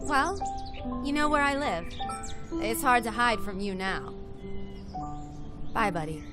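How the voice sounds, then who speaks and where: A woman's voice: on the high side, lively intonation, slow. A woman in her twenties acting a friendly, self-effacing small-town young woman in an amateur audio drama. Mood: shy, playful. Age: 20s. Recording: background music under dialogue.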